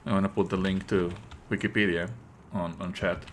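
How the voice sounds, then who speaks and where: A man streaming live commentary, explaining in an opinionated, pedantic way with halting delivery and emphatic stresses. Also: low voice, flat delivery, slight background noise. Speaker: streamer and host.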